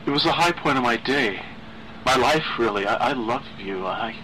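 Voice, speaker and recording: A male narrator voices an eerily gentle, lovesick man from a horror story. He pleads in soft, halting phrases with a very bright edge, over some background noise. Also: mid-range voice, expressive, rough recording. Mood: wistful, yearning. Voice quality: soft, sighing. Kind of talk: audio horror story reading.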